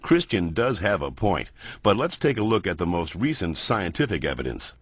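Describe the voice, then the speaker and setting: A man playing a genial, wry science narrator in an educational cartoon, explaining in measured phrases. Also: low-pitched, very animated, a little hiss or hum. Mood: wry, explanatory. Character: genial male science narrator moderating a debate. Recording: music behind the voice.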